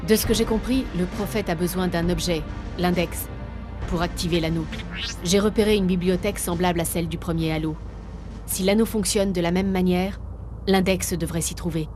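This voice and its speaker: A woman's voice: fairly low pitch, fairly even intonation, normal speed, music in the background, close to an everyday voice. A woman playing a commanding military starship commander in a video game, speaking in an authoritative, businesslike way. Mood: determined. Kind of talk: dramatic video game acting.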